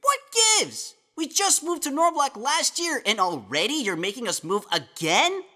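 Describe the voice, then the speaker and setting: A woman's voice: on the high side, sing-song, moderate pace, sharp tone, exaggerated voice. A woman voicing a sarcastic, fiercely loyal little male guardian creature in a cartoon, snappy and incredulous. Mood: suspicious, annoyed. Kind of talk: animated web series dialogue.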